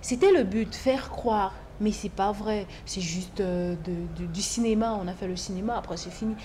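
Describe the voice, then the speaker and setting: Female singer, Bemba as first language, repeats herself for emphasis, mid-pitched voice, slight hiss behind. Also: normal speed, mellow. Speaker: singer, guest. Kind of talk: casual interview talk.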